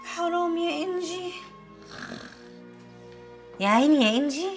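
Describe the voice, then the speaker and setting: A woman's voice: very high-pitched, animated, measured pace, soft background music, full character voice. A woman acting a concerned, pitying colleague in a TV comedy-drama, with an Egyptian accent. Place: small office.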